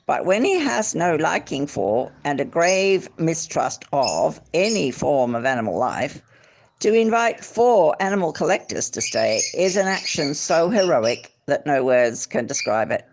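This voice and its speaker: An amateur reader narrating a literary memoir expressively, with theatrical, deliberate phrasing. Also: mid-range voice, very animated. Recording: faint background noise, clicks.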